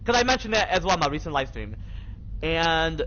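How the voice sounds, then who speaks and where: A man's voice: fairly high pitch, lively intonation, brisk, bright, forceful tone. A young American man chatting casually on a game livestream in a rambling, repetitive, slangy way. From Michigan, United States. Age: young adult. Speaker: live streamer. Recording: dull lo-fi mic with faint noise.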